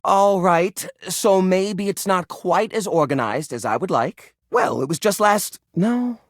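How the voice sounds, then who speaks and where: Casting: a fussy, proud hoarder-curator for a game; nervous stammer, theatrical, very lively pitch swings, dry close sound. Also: male voice, unhurried, no echo. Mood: defensive, sheepish. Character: flustered, defensive hoarder junk drawer, proud self-styled curator. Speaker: video game voice actor. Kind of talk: animated video game character acting.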